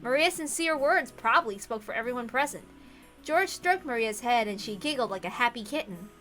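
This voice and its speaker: A woman's voice: on the high side, lively intonation, fairly quick, pushed and bright, faint music behind. A woman reading a visual novel aloud expressively, with asides to her audience.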